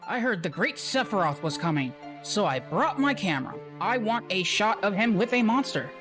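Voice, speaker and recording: A man's voice: high-pitched, big pitch swings, moderate pace, over music. A man playing a snooty, eager amateur photographer in a video game. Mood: smug.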